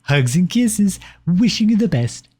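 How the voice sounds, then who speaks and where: A man's voice: high voice, very animated, measured pace, warm tone, slightly rough, pitched above the performer's own voice, full character voice. A man voicing a pompous, possessive empire personified as a cloyingly affectionate suitor in a scripted ASMR roleplay, reading out letters with sugary condescension. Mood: gleeful, coaxing.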